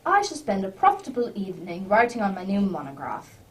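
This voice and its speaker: Woman doing witty posh gentleman detective, radio play, lively pitch, slightly off mic. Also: a little fast, natural voice. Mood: unruffled, wry. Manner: teasing, precise, old-fashioned gentlemanly phrasing. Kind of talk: radio drama acting. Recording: distant microphone.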